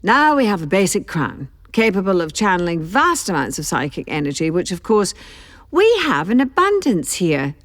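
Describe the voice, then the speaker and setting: A woman acting a gleefully wicked, flippant time-travelling villain in an audio drama, with a teasing, arch, drawn-out delivery. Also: fairly low pitch, very animated, no room sound, clean studio sound. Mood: sly, grand. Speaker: actor in an audio drama clip.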